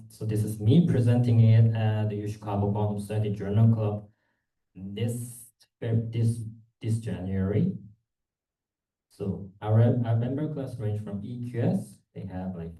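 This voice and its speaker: Young male student presenter, very mellow tone, informal and halting, picked up from afar in a roomy space. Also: lowish voice. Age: young adult. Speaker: student club executive presenting at a conference. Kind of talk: conference presentation. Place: indoor conference room. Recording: echoey room, distant microphone.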